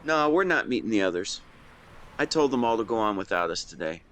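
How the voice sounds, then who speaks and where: A man playing an affectionate, playful young best friend in a romantic audio roleplay, speaking to the listener in a teasing, tender, expressive way. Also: on the low side, brisk. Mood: fond, tender.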